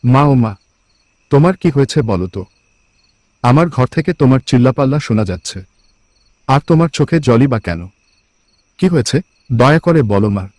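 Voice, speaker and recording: A man playing a simple, devoted young village farmer in a cartoon, earnest and gentle. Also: medium pitch, expressive, a little fast, long pauses, no room sound, natural voice. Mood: concerned. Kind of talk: cartoon voice acting. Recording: music behind the voice.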